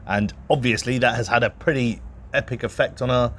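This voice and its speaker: A man's voice: mid-range voice, steady tone, a little fast, fairly bright tone, a little hiss or hum. A man co-hosting a property podcast in casual, unscripted chat, talking in a rambling, self-deprecating way. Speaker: podcast co-host, real estate broker.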